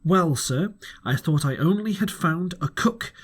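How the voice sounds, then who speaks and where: Man reading as an excitable boastful squire, swooping pitch. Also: a little slow. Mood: delighted. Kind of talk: storybook letter read aloud.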